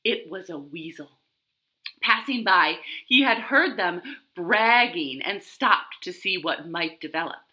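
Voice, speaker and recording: A woman's voice: fairly low pitch, expressive, takes it slowly, bright, forceful tone. A woman hosting a children's storytime from a living room, talking expressively and simply to young children and reading aloud. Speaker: library storytime host. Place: indoors, living room at home. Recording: roomy home living-room echo.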